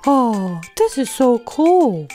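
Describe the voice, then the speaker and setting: A woman voicing a bratty, excitable superhero baby boy in repetitive baby talk for children's toy role-play. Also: a little high, slow pace, faint music behind, voice pushed down. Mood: delighted. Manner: whiny, taunting baby talk with repeated phrases.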